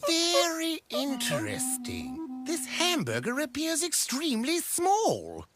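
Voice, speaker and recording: A woman voicing a fussy, bumbling absent-minded professor with a husky voice. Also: sits high, sing-song, slow pace, over music, full character voice. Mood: pompous.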